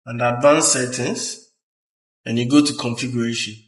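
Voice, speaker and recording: A man presenting a hands-on technical tutorial, explaining step by step in a halting way. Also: medium pitch, steady tone. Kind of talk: hands-on instructional walkthrough. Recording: roomy echo, uneven distance from mic.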